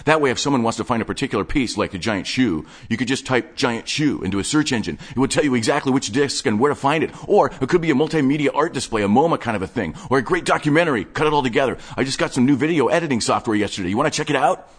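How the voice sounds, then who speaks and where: A wired, obsessive male collector of strange tapes, pitched well above a normal speaking voice, as a man puts him on. He rattles through his collection in loud, excitable, rambling bursts. It sounds like an audiobook character voice. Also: fast talker, hardly any pauses, husky. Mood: eager.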